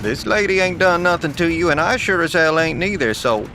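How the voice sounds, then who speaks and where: Folksy truck driver, highish voice. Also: male voice, expressive, fairly quick. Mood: placating. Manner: folksy, colloquial, placating. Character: plainspoken, folksy male truck driver, wry and exasperated. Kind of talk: audio drama acting. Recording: noisy outdoor vehicle ambience.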